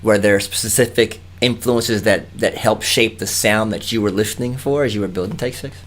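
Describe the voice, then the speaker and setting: A male podcast host with a somewhat low voice, playful and full of enthusiasm. He laughs easily, teases his guest and reacts with lively exclamations. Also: moderate pace. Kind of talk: casual interview.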